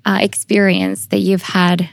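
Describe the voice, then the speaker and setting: A woman's voice: fairly low pitch, sing-song, measured pace. A young adult woman interviewing casually on a podcast, with tentative, informal and hesitant phrasing.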